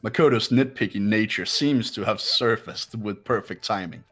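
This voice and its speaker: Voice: medium pitch, normal speed, fairly bright tone, faint music behind, pitched below the performer's own voice, close to an everyday voice. A performer putting on the voice of a cold, condescending young man in a fan dub of a video game.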